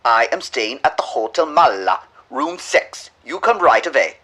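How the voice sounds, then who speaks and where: A man's voice: mid-range voice, very animated, unhurried, heavy background noise. A man playing an urgent, curt Swedish stranger who speaks formally and insistently in an audio drama.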